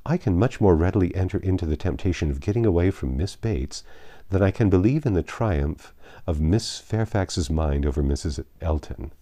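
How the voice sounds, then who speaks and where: A man voicing a lively, opinionated young gentlewoman from a classic novel, speaking in an arch, emphatic and formal way. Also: deep voice, dry recording, studio quality.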